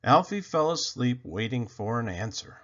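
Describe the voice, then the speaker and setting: A man reading a children's picture book aloud in a slow, expressive storytelling voice. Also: mid-range voice. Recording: dull lo-fi sound.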